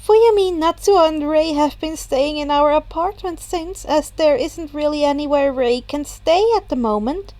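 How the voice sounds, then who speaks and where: Kindly mum, read by a woman narrator, high voice, gentle and polite, a little flustered, dry recording. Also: normal speed, few pauses, no echo, lightly acted. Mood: explaining. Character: kindly, softly chuckling mother of a teenage boy.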